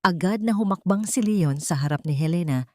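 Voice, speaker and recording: A woman narrating a scripted drama story in a measured, emphatic, theatrical way. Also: lowish voice, dry recording, clean studio sound.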